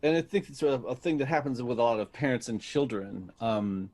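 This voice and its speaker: A man's voice: mid-range voice, a little fast, darkish tone, dry recording. A man being interviewed as a guest, reflecting thoughtfully and often searching for his words. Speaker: interview guest, voice actor in an audio drama.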